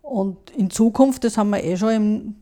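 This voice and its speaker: A woman's voice: medium pitch, moderate pace, dark tone. A woman with an Austrian accent in a casual political discussion, conversational and often ending phrases with a short tag question. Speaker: podcast panelist.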